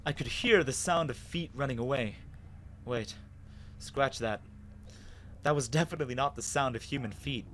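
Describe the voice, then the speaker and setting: A man voicing a confused, anxious amnesiac wanderer in a dramatic first-person story reading with expressive pauses. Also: medium pitch, slight background noise. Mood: puzzled, unsettled. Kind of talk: dramatic reading of fan fiction.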